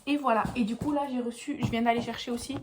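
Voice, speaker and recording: A woman's voice: on the high side, fairly even intonation, fast talker, noisy background. A young woman with a stuffy nose from a cold, chatting casually in a meandering beauty vlog at home, full of hesitations. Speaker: beauty vlogger.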